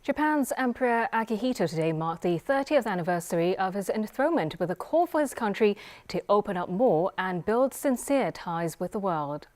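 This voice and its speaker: A woman's voice: mid-range voice, animated, normal speed, a little mellow. A woman anchor reading scripted television news.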